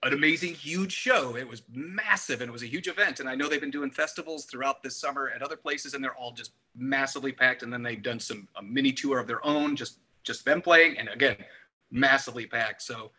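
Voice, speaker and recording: A man with a very bright, forceful voice at a medium pitch. He chats as a music podcast co-host, emphatic and repetitive, often slipping into imagined quotes. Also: a little fast, slightly rough. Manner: emphatic, repeats words, acts out imagined quotes. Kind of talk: casual album discussion.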